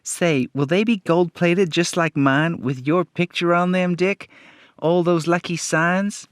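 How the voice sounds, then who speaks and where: A man voicing an eager, wholesome young boy sidekick, excitable and exclamatory, in an old radio promotion. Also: a little high, measured pace, clean tone, no echo, studio quality, pitched above the performer's own voice, close to an everyday voice. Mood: excited. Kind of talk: 1930s radio advertising acting.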